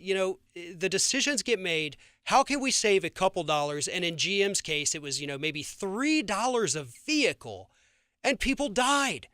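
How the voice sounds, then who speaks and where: A man's voice: high-pitched, a bit bright, dry recording, clean studio sound. A man with an American accent hosting a radio interview, speaking emphatically and indignantly, often stammering over repeated words.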